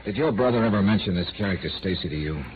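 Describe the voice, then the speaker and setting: An actor playing a dry, weary, hard-boiled investigator in 1950s radio noir style, wry and terse. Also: male voice, low-pitched, expressive, racing, slight background noise. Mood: dry, guarded. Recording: old narrow-band radio recording with music.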